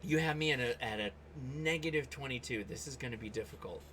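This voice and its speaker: A man hosting a hobby livestream, chatting casually and reacting aloud in an excitable way, often addressing people by name. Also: mid-range voice, quick pace, noisy background. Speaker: host of a ham radio livestream. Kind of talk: casual livestream chat and running commentary while operating radio.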